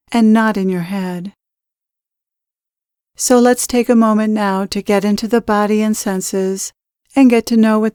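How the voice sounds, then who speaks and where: A woman leading a guided meditation as a spiritual teacher, speaking in measured, deliberate, instructional phrases. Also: medium pitch, darkish tone, smooth, dry recording, studio quality.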